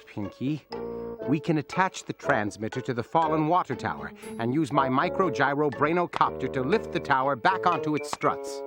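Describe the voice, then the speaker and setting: An actor voicing a scheming genius lab mouse with measured, pompous diction. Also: male voice, medium pitch, natural voice. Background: music.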